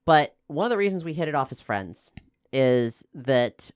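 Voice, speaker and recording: A man from Florida with an American accent, talking casually and explaining things in a halting way, often correcting himself as he thinks aloud. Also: medium pitch, very animated, clear, resonant tone, no room sound, studio quality. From Florida, United States. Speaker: actual play podcast player and co-host.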